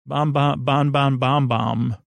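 A man hosting a sleep podcast, rambling softly with many fillers and restarts in a lulling, creaky voice. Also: medium pitch, fairly even intonation, no echo. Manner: meandering, halting, full of fillers and restarts; soft, lulling, unhurried.

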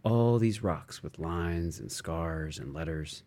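A middle-aged man narrates literary fiction in a deep, dark-toned voice. He takes his time, setting down short, broken thoughts one by one with measured pauses. The delivery is very slow and relaxed. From United States. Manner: measured, fragmentary phrasing with pauses. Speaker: audiobook reader. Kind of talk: literary narration, stream-of-consciousness reading.

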